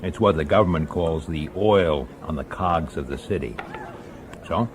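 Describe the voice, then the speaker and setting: An actor playing a world-weary middle-aged police detective in a radio drama, speaking tersely and dryly, also as narrator. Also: male voice, very deep voice, normal speed, a little hiss or hum. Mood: wary, low. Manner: terse, dry, clipped. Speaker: detective and first-person narrator.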